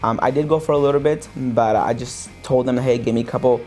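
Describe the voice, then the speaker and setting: Young Pakistan-born businessman, relaxed testimonial delivery over soft guitar, mid-range voice. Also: medium pitch, a little fast. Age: young adult. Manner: relaxed, many fillers and restarts. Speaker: sales business owner. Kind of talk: interview testimonial. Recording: faint guitar music underneath.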